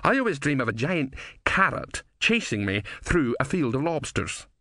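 A man's voice: medium pitch, sing-song, normal speed, bright, forceful tone, no room sound, clean studio sound, voice pushed up. A man voicing a drily unimpressed male philosopher with a sardonic manner in an audiobook. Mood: wry.

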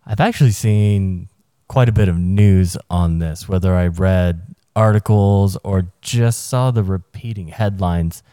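A man co-hosting a casual podcast, speaking in a measured, hesitant way with many pauses and uhs. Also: sits low, warm tone, smooth, dry recording, studio quality. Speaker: podcast co-host.

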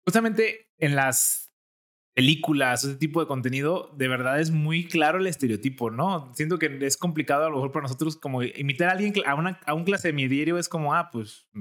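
Young male co-host, Jalisco accent, relaxed chatty delivery. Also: medium pitch. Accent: Mexican, from Jalisco. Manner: conversational, many fillers, thinks aloud. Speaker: podcast co-host. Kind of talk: casual chat.

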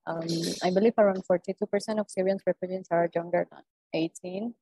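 A woman's voice: lowish voice, steady tone, brisk, warm tone, rough recording. A woman interviewer reading prepared questions carefully and hesitantly, with many "um"s.

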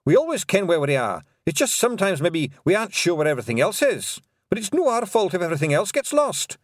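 A man's voice: sits high, sing-song, fast, dry recording, studio quality, pitched above the performer's own voice. A man voicing a small, fierce, boastful Pictsie warrior chief with a Scottish accent. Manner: blustering, emphatic.

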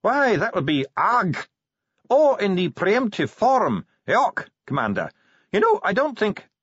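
A man voicing an earnest, scholarly dwarf translator in an audiobook, reciting ancient lore in slow, archaic, declamatory phrases. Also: high-pitched, wildly swooping pitch, fairly bright tone, husky, no room sound, muffled recording, pitched above the performer's own voice, exaggerated voice. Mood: helpful, puzzled.

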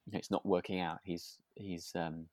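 A man's voice: deep voice, little pitch movement, normal speed, a little mellow, dry recording. A British man co-hosting a podcast, chatting casually in a hesitant, giggly, self-deprecating way. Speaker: podcast co-host. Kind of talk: casual chat about a radio drama.

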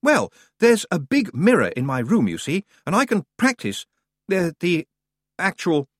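A man's voice: sits high, huge pitch swings, measured pace, dry recording, clean studio sound, pitched above the performer's own voice, full character voice. A man voicing an awkward, doubtful young nobleman who stumbles over his words. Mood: sheepish. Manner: hesitant, apologetic.

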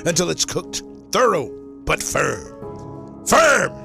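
Guy doing over the top dramatic radio reading, highish voice all over the place, music behind. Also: big pitch swings, slow pace, creaky. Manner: theatrical, emphatic, repeats words for effect. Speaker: morning radio show crew member. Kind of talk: mock-dramatic reading of a recipe. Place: radio studio. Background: music under the voice.

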